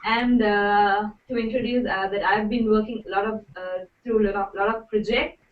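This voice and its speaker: A woman's voice: mid-range voice, fairly even intonation, normal speed. Echoey, muffled young Sri Lankan woman. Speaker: youth delegate panelist. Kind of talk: informal self-introduction on a video call. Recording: echoey, muffled, distant, faintly noisy call.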